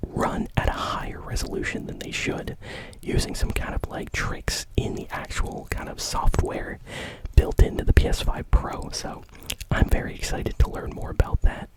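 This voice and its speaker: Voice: normal speed, rough recording. An ASMR host whispering gaming news in a rambling, informal way.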